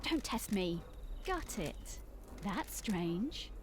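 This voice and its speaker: A woman's voice: mid-range voice, big pitch swings, drawn-out words, very noisy. A woman playing a terse, suspicious investigator in a murder mystery video game. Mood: wary. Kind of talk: short game character voice barks.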